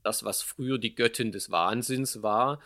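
A man's voice: mid-range voice, unhurried, pushed and bright, slight background noise. A man in an analytical discussion, phrasing things intellectually and hesitantly. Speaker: theatre production team member.

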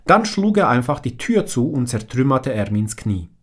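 Husky man with a strong Bosnian accent. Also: medium pitch, sing-song, moderate pace, fairly bright tone. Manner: strongly accented, direct and plain. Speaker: author reading his own book. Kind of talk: audiobook reading.

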